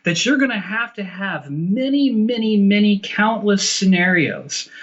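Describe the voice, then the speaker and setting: A man's voice: high voice, lively intonation, measured pace, a bit bright, dull audio. A man talking as an interview guest in casual, opinionated conversation, emphatic and repeating phrases to drive points home. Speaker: interview guest, film director.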